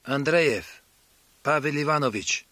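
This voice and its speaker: An actor playing a meek, exhausted labour-camp prisoner in a German radio play, humble and subdued. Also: male voice, fairly high pitch, normal speed, bright, forceful tone, no room sound. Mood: submissive.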